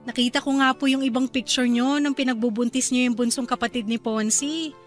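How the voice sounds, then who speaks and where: A woman's voice: high voice, steady tone, a little fast, faint music behind, close to an everyday voice. A woman playing a polite, deferential young daughter-in-law in a Filipino radio drama, speaking meekly with a Filipino accent. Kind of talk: Tagalog radio drama acting.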